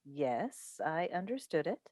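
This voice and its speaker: A woman's voice: low voice, big pitch swings, takes it slowly, warm tone, no echo, exaggerated voice. A woman in a staged play reading, playing a seasoned, composed talk show host and mother who tries to placate people. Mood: patient, diplomatic. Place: indoors on a Zoom call. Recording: dry video call recording.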